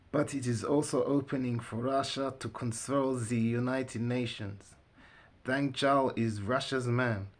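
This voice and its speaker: A man voicing an urgent, commanding German prophet in a storybook, with a clipped, formal German accent. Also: medium pitch. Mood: grave, commanding.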